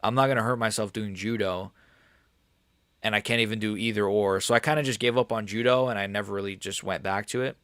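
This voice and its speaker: A man's voice: fairly low pitch, steady tone, fairly quick, fairly bright tone, clean tone, dry recording, studio quality. A man in his early twenties hosting a casual livestream, talking in slangy, sweary bursts and often stumbling and repeating himself. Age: early 20s. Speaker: livestream host answering chat. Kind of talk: casual livestream chat about combat sports.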